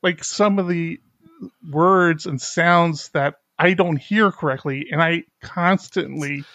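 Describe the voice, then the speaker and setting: A man's voice: a little high, sharp tone, dry recording, lo-fi sound. Middle-aged man with a slight speech impediment. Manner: candid, halting with restarts and laughs. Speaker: podcast host. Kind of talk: casual chat.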